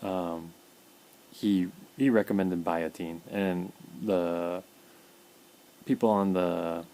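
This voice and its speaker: A man talking casually in a personal video diary, halting with fillers and repeated words, his mouth dry. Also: low-pitched, steady tone, mellow, rough recording. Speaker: vlogger documenting his illness.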